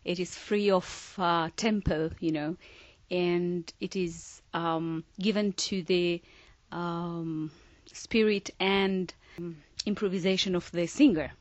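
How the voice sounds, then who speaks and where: A woman from Iran, a singer being interviewed, who speaks haltingly and often stops to search for words. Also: on the low side, fairly even intonation, no echo, lo-fi sound. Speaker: singer guest.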